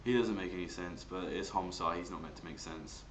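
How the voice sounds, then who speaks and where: A man's voice: sits low, brisk. A man chatting casually as he presents a voice demonstration vlog. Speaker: amateur voice actor. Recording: echoey room, faint background noise.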